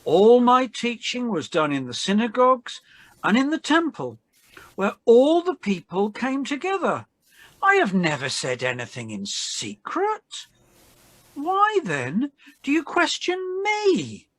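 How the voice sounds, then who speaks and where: Woman doing calm solemn jesus in a bible reading, really slow, dry close sound, voice rises and falls a lot. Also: fairly high pitch, dry recording, full character voice. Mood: earnest. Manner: slow, deliberate, measured delivery. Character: Jesus, calm, solemn man under arrest and questioning.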